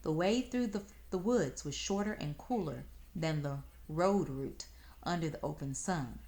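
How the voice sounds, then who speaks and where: Female storybook narrator, slightly low register, expressive scripted read-aloud. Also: a little slow. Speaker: storybook reader.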